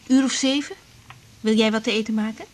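An actress playing a young doctor in a radio drama, patient with others but downcast, with a husky voice. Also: female voice, a little high, steady tone, fairly quick, natural voice. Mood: troubled. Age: young adult. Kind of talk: naturalistic radio drama acting.

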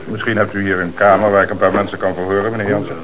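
Radio drama police inspector, played by a man: fairly low voice, calm, businesslike, Netherlands Dutch accent, hissy old recording. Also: rapid-fire, natural voice. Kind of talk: Dutch radio play acting.

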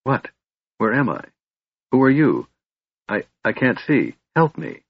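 A man's voice: lowish voice, animated, normal speed, breathy edge, no room sound, dull audio. A man voicing a proud young elven woman, defiant and wounded, speaking with intense emotion in a fantasy audiobook. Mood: frightened, confused.

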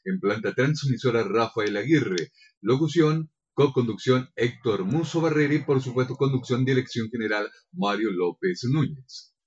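A man's voice: mid-range voice, normal speed, breathy edge, lo-fi sound. A man with an Argentine accent presenting as a radio host.

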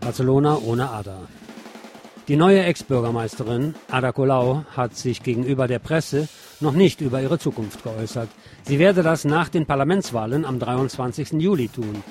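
A man's voice: medium pitch, normal speed, darkish tone. A man reading scripted radio news and commentary in a wry, sardonic manner. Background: guitar music.

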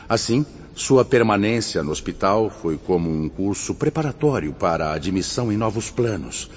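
A man's voice: lowish voice, slightly rough. A Brazilian man acting in a radio drama as a solemn, authoritative spirit mentor, declaiming like a preacher. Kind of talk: radio drama acting, oratorical sermon-like address. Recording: muffled, noisy, long hall reverb.

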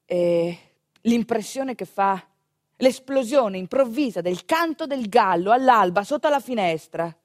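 An actress playing an animated village storyteller in a theatrical monologue, talking to the audience conversationally with dramatic pauses. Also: female voice, on the high side, no room sound, studio quality. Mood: playful, confiding. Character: animated female village storyteller recounting a home birth.

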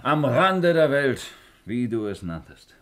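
An actor playing a self-important, uneasy, lonely Roman palace officer in a theatrical 1950s radio play style. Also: male voice, medium pitch, sing-song, slow pace, fairly bright tone. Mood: weary, bitter.

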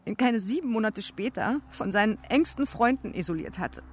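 Bitter, scornful ex-girlfriend in radio crime drama; emphatic, very animated delivery. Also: a little high, a little hiss or hum. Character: bitter, resentful woman grieving her ex-boyfriend. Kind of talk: naturalistic German radio crime drama acting.

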